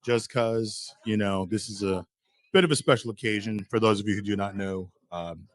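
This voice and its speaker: A man's voice: fairly low pitch, moderate pace, no echo, studio quality. A man co-hosting a talk show, chatting casually in a laid-back, slightly hesitant and wry way. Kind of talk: casual chat.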